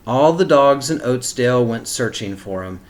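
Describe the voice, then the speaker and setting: A man with a mid-pitched voice reads a storybook aloud as a teacher would for kindergarteners. He narrates the tale and steps out of it with simple explanatory asides, speaking directly to young listeners. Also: lively intonation, moderate pace. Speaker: teacher reading a picture book aloud to kindergarteners.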